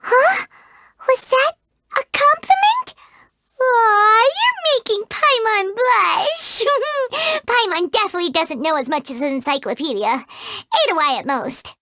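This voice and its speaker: A woman's voice: very high-pitched, lively intonation, a little slow, pushed and bright, dry recording, heavily acted. A woman voicing a tiny, greedy, chatty fairy companion who speaks of herself in the third person, in studio game voice acting. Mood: chirpy. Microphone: studio mic.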